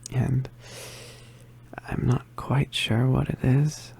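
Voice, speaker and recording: A performer voicing an injured, poisoned young male witch in an ASMR roleplay, weak and apologetic, mostly whispering in halting, pained breaths. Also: mid-range voice, little pitch movement, darkish tone, a little hiss or hum. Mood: pained, weary. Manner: halting, pained, with sighs and throat clearing.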